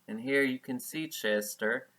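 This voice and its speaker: A man's voice: mid-range voice, measured pace, sharp tone, low-quality recording. A man reading a picture book aloud as a preschool teacher, explaining things simply and repeating key words for young children.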